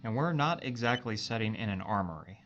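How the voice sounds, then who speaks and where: A man's voice: fairly low pitch, lively intonation, a little fast, fairly bright tone, highs cut off, slight background noise. A man playing a loyal, wry spaceship crewman and tinkerer in an audio drama, talking casually. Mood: concerned, curious.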